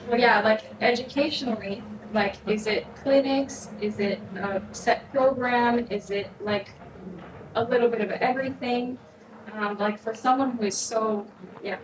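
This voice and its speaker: A woman's voice: fairly high pitch, steady tone, unhurried. A woman in the audience asking a question, hesitant and searching for words with many "um"s. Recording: distant roomy mic with background noise.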